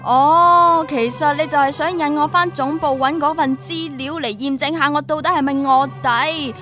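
A woman's voice: sits high, steady tone, moderate pace, bright, forceful tone, faint music behind. An actress with a Hong Kong Cantonese accent playing a sassy, impressed young female double agent in a radio drama. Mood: realizing, wry. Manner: exclamatory, reactive.

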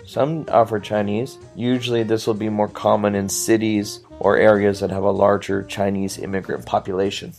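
An American man answering interview questions in a relaxed, explanatory way. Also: lowish voice, moderate pace, creaky, few pauses, faint music behind. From United States. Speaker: guest.

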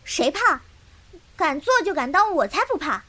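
A woman's voice: high-pitched, very animated, normal speed, pushed and bright, full character voice. An actress playing a proud, naive and fierce girl martial-arts disciple in a Chinese audio drama, with a childlike, blunt manner. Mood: defiant, sulky.

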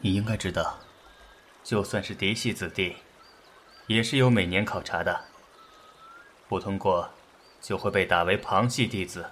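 A man playing a stern, deep-voiced clan uncle. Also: fairly even intonation, a bit bright, slight background noise. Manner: measured, commanding. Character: stern clan elder uncle, calm and authoritative. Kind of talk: Chinese fan audio drama acting.

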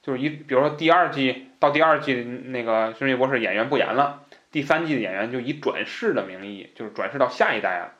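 A man's voice: mid-range voice, quick pace, fairly bright tone, highs cut off. Man hosting an informal explanatory book talk. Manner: conversational, rambling asides, repeats phrases for emphasis. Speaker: podcast host.

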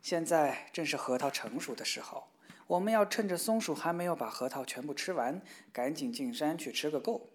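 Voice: mid-range voice, lively intonation, normal speed, close to an everyday voice. A storyteller voicing a proud, bossy rooster in a children's fairy tale. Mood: eager. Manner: indignant, boastful.

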